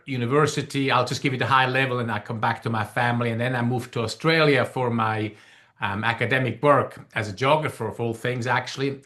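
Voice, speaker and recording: A man's voice: mid-range voice, fairly bright tone. A middle-aged man from Switzerland with a Swiss German accent, telling personal stories conversationally as a guest. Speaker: podcast guest, leadership coach.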